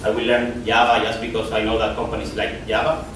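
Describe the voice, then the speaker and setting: A man with a Spanish accent gives a loose, rambling conference talk in a rather low voice, doubling back on his words as he goes. He sounds far from the mic, in a big echoing hall with a steady hiss of noise behind him. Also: brisk. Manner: casual, repeats and restarts words, rambling asides. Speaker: conference speaker. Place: lecture hall. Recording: echoey hall from far off, noisy.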